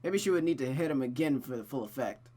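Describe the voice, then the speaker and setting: A streamer with a mid-pitched voice reads a visual novel aloud, narrating expressively and adding casual asides. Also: animated, fast, dark tone.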